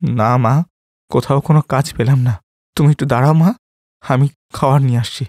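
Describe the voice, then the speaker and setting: A man's voice: mid-range voice, steady tone, moderate pace, darkish tone, close to an everyday voice. A man voicing a humble, caring and distressed poor father in a cartoon moral story. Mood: sad, tender. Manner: plaintive, earnest, repeats phrases.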